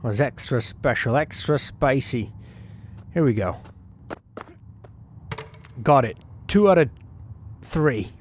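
A man's voice: mid-range voice, animated, slow pace, long pauses, darkish tone. A man hosting a gaming video, narrating a sports career story in the first person as a hopeful young cricketer, talking himself through it. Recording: clear background noise and ambience.

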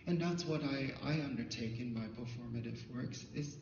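A middle-aged man whose first language is Thai gives a symposium talk through a handheld mic, recorded from far back in a large hall. The sound is roomy with a long reverb tail. The audio is dull and muffled, with a faint hiss underneath, and he speaks haltingly, restarting often. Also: mid-range voice. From Thailand. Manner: halting, many fillers and restarts. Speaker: artist presenter. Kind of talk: symposium talk, personal storytelling. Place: dim hall with projector screen. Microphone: handheld microphone, filmed from afar.

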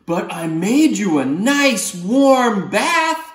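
A man voicing a cheerful, mischievous octopus from a picture book, with an emphatic, theatrical, drawn-out delivery. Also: sits high, very animated, echoey room, voice pushed up, exaggerated voice. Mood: eager, helpful. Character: cheerful, mischievous male octopus, eager to please his friend.

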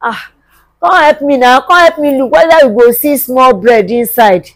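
A woman's voice: high voice, no echo, close to an everyday voice. A woman acting a hungry, long-suffering mother in a film drama, pleading repetitively and plaintively with a Nigerian accent. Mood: plaintive despair. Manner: plaintive, repetitive begging with drawn-out wails.

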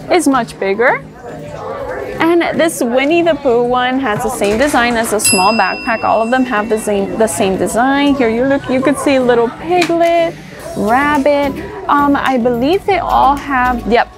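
A woman giving a casual, chatty store tour, thinking aloud and gushing over items as she browses. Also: sits high. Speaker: shopping vlogger. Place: discount store.

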